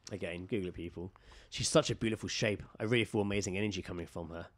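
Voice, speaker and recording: A man's voice: deep voice, fast talker. A man with a British accent chatting casually as a podcast host, jokey and sweary as he reads out odd news stories.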